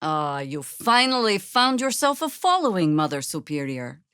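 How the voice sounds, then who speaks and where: An actress playing a seasoned, sardonic older druid adventurer in a video game, with dry, wry and deliberate formal phrasing. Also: female voice, lowish voice, very animated, bright, forceful tone, clear, resonant tone, dry recording. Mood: wry, commanding. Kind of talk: video game character acting.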